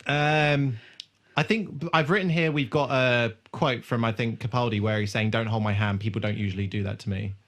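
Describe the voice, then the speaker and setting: A man's voice: mid-range voice, fairly even intonation, moderate pace, a bit bright. A man co-hosting a podcast, casually recapping a TV episode in an irreverent, sweary way. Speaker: podcast host.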